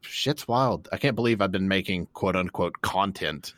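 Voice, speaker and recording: A young man co-hosting a casual podcast from his home recording office, talking in an animated way and swearing casually. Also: deep voice, normal speed, no room sound. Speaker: podcast co-host. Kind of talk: casual chat. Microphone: home recording setup.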